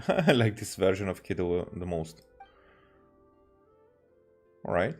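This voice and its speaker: Animated man commenting on a game. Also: deep voice. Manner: hesitant, halting, stammering. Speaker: game streamer. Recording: faint game music behind.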